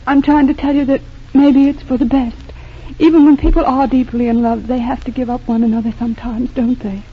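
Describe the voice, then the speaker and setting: Anguished young lady in love, halting and emotional, husky, tinny old radio drama sound. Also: high voice, flat delivery. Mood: anguished, sincere. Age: young adult. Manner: halting, emotional, earnest delivery. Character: earnest young woman torn by love, anguished. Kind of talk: 1940s radio drama acting.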